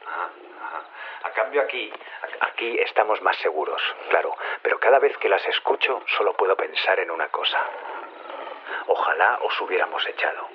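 Ominous bitter guy leaving a threat on voicemail, lowish voice, muffled noisy line, audio drama. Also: lively intonation, moderate pace. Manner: ominous, taunting, rhetorical questions. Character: menacing resentful male outsider leaving a threatening message. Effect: voicemail phone filter. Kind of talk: audio fiction voicemail monologue. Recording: dull lo-fi phone message with noise.

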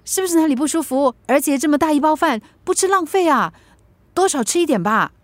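A woman's voice: high-pitched, lively intonation, brisk, no room sound. A woman acting in a radio drama as a chatty, kind-hearted young woman who fusses politely, with a Singaporean accent. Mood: warm, coaxing.

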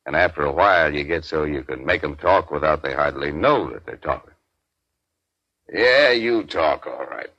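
An actor playing a mocking, needling police detective in a 1940s radio crime drama, sarcastic and taunting. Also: male voice, rumbling low, animated, normal speed, pushed and bright, exaggerated voice. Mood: taunting, sure. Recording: dull 1940s radio recording.

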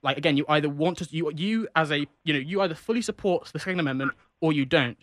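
A man's voice: fairly high pitch, quick pace, over a noisy crowd. A man with a Jamaican accent arguing insistently on a radio talk show, often repeating himself as he pushes his point. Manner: insistent, interrupts, repeats words. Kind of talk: heated radio debate.

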